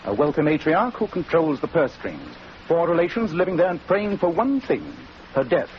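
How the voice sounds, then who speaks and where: A man playing a shrewd, commanding British gentleman detective in 1940s radio acting, crisp, authoritative and dramatic. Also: a little high, sing-song, normal speed. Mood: grave resolve. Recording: muffled, noisy old radio recording.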